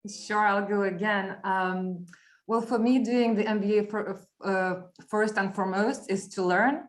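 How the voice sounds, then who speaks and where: A woman's voice: medium pitch, fairly even intonation, unhurried. A woman talking informally about herself as a guest on an online panel.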